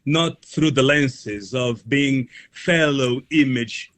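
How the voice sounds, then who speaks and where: Slow, formal man giving interview answers. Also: medium pitch, a bit bright. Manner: formal, academic wording, halting delivery. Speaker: guest, reverend and mission organisation officer. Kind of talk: considered explanatory interview answers.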